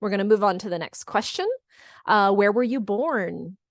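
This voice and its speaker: A woman's voice: medium pitch, normal speed, no room sound. Chatty middle-aged woman hosting, close dry sound. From California, United States. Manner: chatty, encouraging, repeats answers back. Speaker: webinar host. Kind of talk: casual moderated chat, reading out audience comments. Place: online video call.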